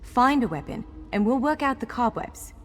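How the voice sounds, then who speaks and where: A woman's voice: a little high, animated, moderate pace, lightly acted. A woman playing a courteous, wry elf scholar guiding someone in a video game, articulate and formal yet playful. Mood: brisk, friendly. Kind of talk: video game character dialogue. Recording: long hall reverb over music.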